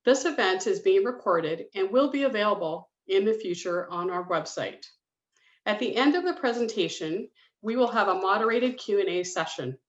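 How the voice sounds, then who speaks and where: A woman's voice: mid-range voice, lively intonation, normal speed. Woman, businesslike scripted host, echoey room, muffled call audio. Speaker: public education coordinator and webinar host. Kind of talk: scripted webinar introduction. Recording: dull roomy video-call audio.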